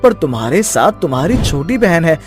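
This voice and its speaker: A man's voice: on the high side, sing-song, moderate pace, faint music behind. A man voicing an earnest, kind-hearted young schoolboy in a children's fairy tale. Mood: caring. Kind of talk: children's story voice acting.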